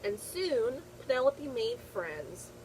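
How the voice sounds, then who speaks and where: High-voiced woman teacher reading aloud, noisy recording. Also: lively intonation, slow, darkish tone. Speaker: teacher reading a picture book aloud to her class. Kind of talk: children's read-aloud with comprehension questions.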